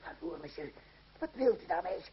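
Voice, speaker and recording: A man's voice: high-pitched, animated, normal speed. An actor playing a timid, fearful ferryman in an old radio play, hesitant and deferential. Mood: terrified pleading. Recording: noisy, dull old radio play recording.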